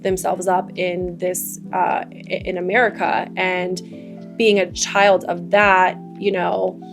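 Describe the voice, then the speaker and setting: A young woman co-hosting a podcast, whose first language is Farsi. She speaks in an earnest, enthusiastic way with a flat, even pitch. Faint music plays under her voice. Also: slow pace, gravelly. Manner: earnest, enthusiastic about fitness. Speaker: podcast co-host. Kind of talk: casual chat.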